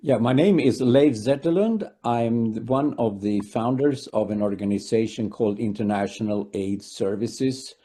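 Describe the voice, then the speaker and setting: A man, founder of an aid organization, giving a calm interview testimony with fillers. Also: medium pitch, measured pace, hardly any pauses, slightly rough.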